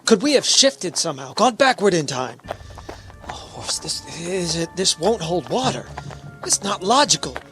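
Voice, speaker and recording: A man's voice: high voice, big pitch swings, normal speed, over music. A man playing a stern, skeptical, logic-minded spaceship captain in a radio drama. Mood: disbelieving.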